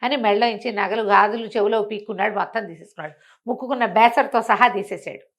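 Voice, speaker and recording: A woman telling a bedtime story in a conversational, expressive way, with rhetorical questions and acted-out exclamations from villagers. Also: mid-range voice, fast.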